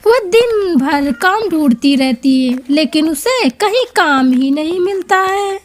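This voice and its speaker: A woman's voice: very high-pitched, animated, measured pace. A woman narrating a scripted story as its storyteller.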